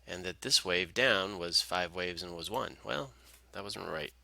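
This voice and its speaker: Male analyst, lowish pitch with a very sharp, bright edge, informal tutorial delivery, close and dry. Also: measured pace, creaky, no room sound. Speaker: market analyst presenter. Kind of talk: informal screen-share chart commentary.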